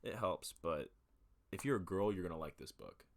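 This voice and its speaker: A man in his twenties hosting a relaxed show, rambling casually through book reviews with lots of fillers. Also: sits low, normal speed, creaky, a little hiss or hum. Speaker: host of a music and talk show.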